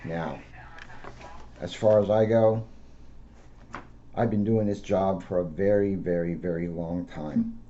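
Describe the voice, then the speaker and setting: Dark, mellow-voiced American man, muffled recording. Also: low-pitched, slow, pauses often. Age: middle-aged. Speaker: school resource police officer reading a children's book. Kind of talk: read-aloud and informal talk to schoolchildren. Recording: dull lo-fi audio with faint noise.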